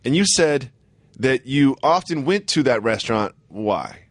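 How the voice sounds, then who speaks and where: A man's voice: mid-range voice, animated, measured pace, no room sound. An American man co-hosting casual sports radio banter, speaking emphatically, often repeating himself and asking lots of questions. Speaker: sports talk show co-host.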